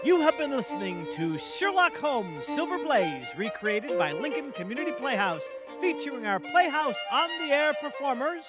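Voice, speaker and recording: A woman's voice: sits high, very animated, moderate pace. A woman delivering a scripted sign-off as announcer for a radio drama program. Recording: string music behind.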